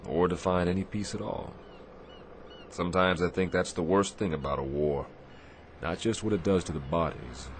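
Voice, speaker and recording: An actor playing a commanding, world-weary naval officer in a radio drama. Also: male voice, a bass voice, noisy background. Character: commanding male naval officer, shouting orders, later weary and reflective.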